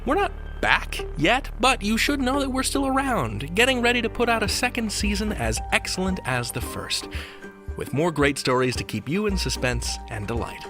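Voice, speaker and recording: A man's voice: sits high, very animated, moderate pace. A man hosting a podcast, reading a scripted introduction in an upbeat, theatrical manner. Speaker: podcast host. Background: music.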